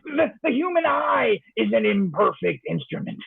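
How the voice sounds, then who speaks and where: An actor playing an anguished man of science in an old-time radio drama, raspy and impassioned as he haltingly defends his sanity. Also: very high voice, big pitch swings, no room sound, full character voice. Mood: anguished, insistent. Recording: muffled phone-like line.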